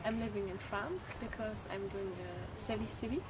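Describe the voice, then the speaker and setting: A woman's voice: medium pitch, moderate pace. 18 yo german girl volunteering, eager, hunts for words, noisy recording. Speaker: civic service volunteer. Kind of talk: conference interview answers. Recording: loud background noise.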